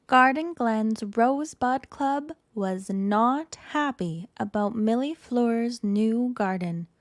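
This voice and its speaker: A woman reading a children's picture book aloud in an expressive, deliberate storytelling manner. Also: a little high, no room sound, clean studio sound. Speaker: storytime reader.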